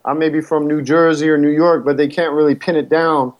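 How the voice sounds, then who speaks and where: Frank East Coast-accented man, fairly high voice. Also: brisk, lo-fi sound. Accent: East Coast American. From California. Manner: frank, matter-of-fact, relaxed. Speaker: guest. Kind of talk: candid storytelling in conversation.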